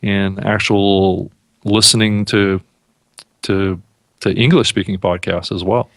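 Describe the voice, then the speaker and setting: A man with a low voice hosts a casual podcast and thinks aloud, often restarting and repeating words. The recording is dry and close, with no room echo. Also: flat delivery, takes it slowly, a bit bright. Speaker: podcast host. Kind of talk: casual chat.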